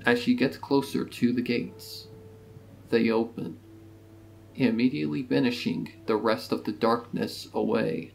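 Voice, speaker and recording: Guy narrating a sad story, creaky medium voice, guitar music clearly behind. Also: medium pitch, fairly even intonation, unhurried, a little mellow, poor audio. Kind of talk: scripted dramatic story narration.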